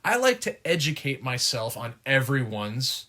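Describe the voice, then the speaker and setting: A man's voice: medium pitch, very animated, a little slow, pushed and bright. A middle-aged man co-hosting a casual podcast with his wife, chatting in an easygoing, joking way. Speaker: podcast co-host. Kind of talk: casual chat.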